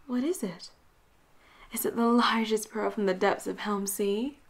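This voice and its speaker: A woman acting a flirty, dominant and cocky female pirate captain in a roleplay audio drama, teasing and breathy, often dropping toward a whisper. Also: medium pitch, unhurried. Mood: teasing, curious. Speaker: voice actor.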